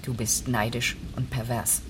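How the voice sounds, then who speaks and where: A woman's voice: extremely low pitch, flat delivery, unhurried, noisy background. An actress playing a cold, scornful middle-aged woman judge in a radio drama, restrained and contemptuous. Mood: bitter, scornful.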